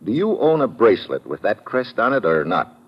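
A man's voice: lowish voice, big pitch swings, a little fast, husky. An actor playing a tough, wry American adventurer who narrates his own case in a 1950s radio drama, sardonic and clipped. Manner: hard-boiled, sardonic, clipped. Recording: muffled vintage radio recording.

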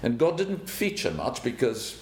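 An older man from South Africa, a banker who tells his life story in an interview, speaking in a halting, thoughtful way and chuckling now and then. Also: huge pitch swings. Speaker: guest, banker. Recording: roomy with faint rumble.

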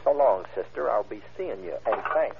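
An actor playing a tough young stick-up man in an old radio crime drama, snapping threats in clipped gangster slang with a husky voice. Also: fairly low pitch, lively intonation, moderate pace, background noise. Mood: smug. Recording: tinny, narrow-band old radio recording.

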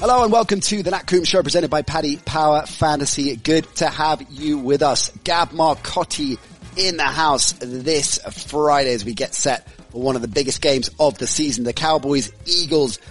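A man hosting a sports podcast, telling a story in a lively, joking way. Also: fairly high pitch, moderate pace, soft background music. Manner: storytelling, animated and jokey. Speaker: podcast host. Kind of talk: casual sports chat.

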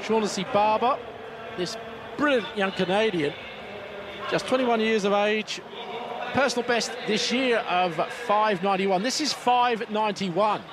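A man calls athletics live in a high, excited voice. It sounds like a stadium broadcast clip, with clear noise around the voice. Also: measured pace. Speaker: athletics TV commentator. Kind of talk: live sports commentary.

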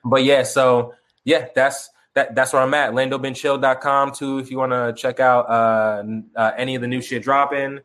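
A young man guesting on a call-in interview, talking casually, rambling and halting, with many restarts and fillers and some swearing. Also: mid-range voice, little pitch movement, fairly bright tone. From Chicago, grew up in Tucson. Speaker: musician guest. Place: remote video call.

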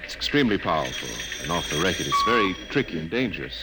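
An actor playing a reserved, cautious, dryly polite civilian scientist in an old-time radio drama. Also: male voice, low-pitched, big pitch swings, fast talker. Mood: guarded. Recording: noisy old radio broadcast recording.

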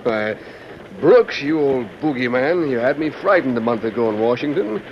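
A muffled, slightly noisy vintage radio recording of a jovial president of the Old West played by a male actor. He speaks at a middling pitch in a hearty, complacent way, teasing and speechifying like a statesman at ease. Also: animated, moderate pace, lightly acted. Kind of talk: old-time radio acting.

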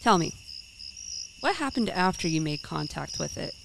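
An actress playing a sharp young paranormal investigator in a radio drama, quick and wry. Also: female voice, lowish voice, sing-song, creaky, background noise. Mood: probing.

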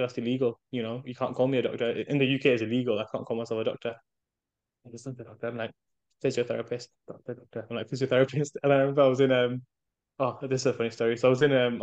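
A British man chatting casually as a podcast guest, telling animated anecdotes and acting out bits of dialogue in a chatty way. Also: mid-range voice, highs cut off. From United Kingdom. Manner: chatty, animated storytelling with self-quoted dialogue.

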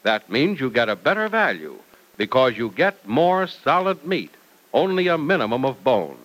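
Animated vintage radio announcer, male. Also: normal speed, poor audio. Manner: emphatic, sales-pitch delivery.

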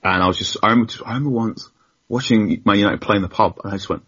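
A man's voice: on the low side, rapid-fire, a bit bright, slightly rough, dull audio. A young man, a journalist guest telling stories animatedly on a podcast, laughing often and acting out quotes. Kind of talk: casual podcast conversation.

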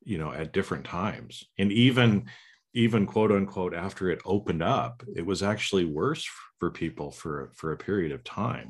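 A middle-aged American man hosting an interview, talking loosely with lots of restarts and "right?" tags. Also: deep voice. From United States. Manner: rambling, many restarts and tag questions. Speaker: podcast host. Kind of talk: casual interview chat.

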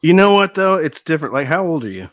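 A man's voice: fairly high pitch, very animated, fast, fairly bright tone, no room sound, studio quality. A man in his forties chatting casually as a guest, joking and self-deprecating as he tells stories. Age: 40s. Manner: jokey, self-deprecating storyteller. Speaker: youtube channel host, guest. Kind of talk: casual chat.

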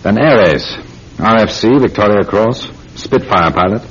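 A man acting in a radio drama as a harried, gruff senior police inspector, brusque and authoritative. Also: lowish voice, normal speed, a bit bright. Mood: grave, troubled. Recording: dull radio drama recording.

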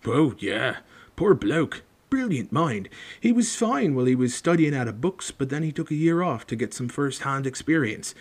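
A man's voice: medium pitch, very animated, moderate pace, breathy edge, voice pushed up. A man voicing a huge, good-natured man with blunt, folksy talk and colloquial grammar, for a storybook. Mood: chatty, fond.

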